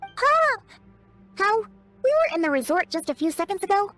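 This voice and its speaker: A tiny excitable fairy kid from a video game, super high and squeaky, bouncing all over. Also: female voice, moderate pace, heavily acted. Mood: worried, puzzled. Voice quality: squeaky, childlike. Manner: exclamatory, naive, refers to self in third person. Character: tiny excitable childlike floating fairy girl companion. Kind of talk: animated video game voice acting.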